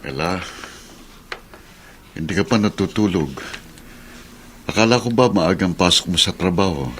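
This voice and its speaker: A kindly middle-aged Filipino uncle in a radio drama. His low voice is gentle and sincere as he reassures, over clearly audible music. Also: expressive, moderate pace. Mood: gentle, reassuring.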